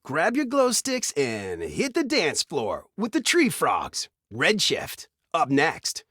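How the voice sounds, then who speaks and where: Retro synthwave radio host, video game, upbeat, smooth announcer patter, very dry close sound, lighter high register. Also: male voice, wildly swooping pitch, slow pace, pushed and bright, no room sound, heavily acted. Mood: enthusiastic.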